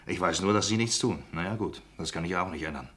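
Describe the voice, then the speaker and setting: An actor playing a resigned, sceptical citizen in a German radio play, speaking in a dry, weary, needling way. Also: male voice, low-pitched, fast talker, fairly bright tone. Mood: resigned, reproachful. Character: resigned, sceptical male citizen reporting to police.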